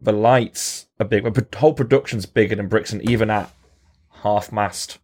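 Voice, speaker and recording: A man's voice: lowish voice, fairly even intonation, moderate pace, darkish tone, a little hiss or hum. A man in a casual podcast chat, explaining things in detail with a dry sense of humour.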